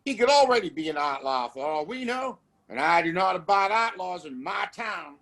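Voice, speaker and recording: A man playing a domineering, self-righteous father who runs a Western town, in an audio drama, with formal, imperious speech and a husky voice. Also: high-pitched, expressive, moderate pace, a bit bright. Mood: stern, imperious.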